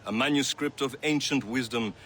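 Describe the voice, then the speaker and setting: A man plays a commanding assassin mentor in a game, grave and formal. The voice is forceful and mid-pitched, over faint ambient noise. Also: moderate pace, close to an everyday voice. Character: grave, authoritative male assassin leader. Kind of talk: video game dialogue acting.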